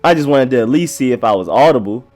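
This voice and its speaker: A young man hosting a sports show, hoarse from a cold, talking in a slangy, chatty, emphatic way. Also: medium pitch, lively intonation, fast, a little mellow, soft background music. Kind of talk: casual sports commentary.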